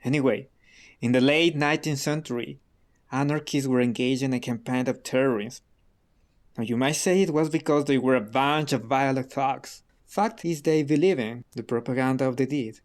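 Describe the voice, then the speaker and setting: An actor playing a guarded, defiant radical under questioning in a radio drama, terse and defensive. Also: male voice, mid-range voice, moderate pace, lightly acted. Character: guarded, defiant male radical being interrogated.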